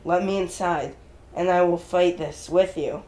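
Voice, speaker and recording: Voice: mid-range voice, steady tone, a little slow, dark tone, close to an everyday voice. A performer in a dramatic reading playing a concerned, loyal companion with an earnest, plain delivery. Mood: resolute, reassuring. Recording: noisy room ambience.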